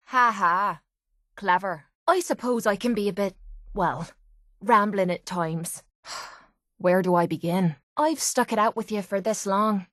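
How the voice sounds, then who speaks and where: A woman's voice: mid-range voice, no echo, clean studio sound, natural voice. Actress as a tough, wounded Irish fighter woman. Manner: earnest, confessional, salty-tongued. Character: tough, wounded young woman fighter, blunt yet vulnerable. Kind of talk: video game character acting.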